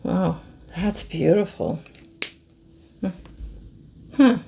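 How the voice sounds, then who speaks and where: Slow, gravelly-voiced woman with a hoarse throat. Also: on the low side, mellow, a little hiss or hum. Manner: slow, musing, easily amazed, talks to viewers. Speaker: craft channel host. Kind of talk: casual product review chat.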